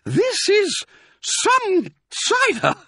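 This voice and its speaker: A man voicing an excitable little boy fox in a children's storybook, squealing and exclaiming with wide-eyed eagerness. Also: very high-pitched, fairly quick, dry recording, studio quality, voice pushed up, heavily acted. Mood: gasping, giddy. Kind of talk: animated children's storybook character reading.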